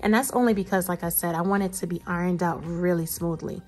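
A woman's voice: fairly low pitch, fairly even intonation, fast, darkish tone, soft background music. A woman narrating a recipe casually as a cooking host, chatty and playful, talking straight to viewers.

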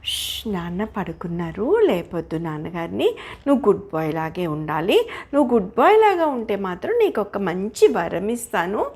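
Woman as a kind, gently commanding fairy. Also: mid-range voice, very animated, normal speed, husky. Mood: hushed, firm. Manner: soothing, instructing, hushing.

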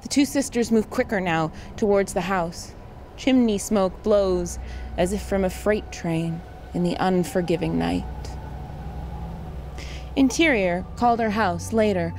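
A woman's voice: mid-range voice, a little slow, a little mellow, faint music behind. A woman reading scripted narration and stage directions for an audio drama.

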